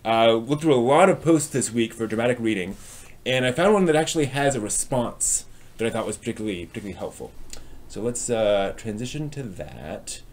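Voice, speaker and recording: A man's voice: mid-range voice, expressive, fairly quick. A man hosting a live stream, chatting casually and ramblingly as he responds to viewers. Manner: rambling, reacting to chat as it comes.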